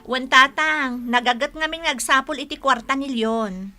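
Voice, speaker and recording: An actress playing a proud, hospitable wife and dutiful daughter in a comedic radio drama. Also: female voice, high-pitched, moderate pace, bright, forceful tone. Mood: welcoming.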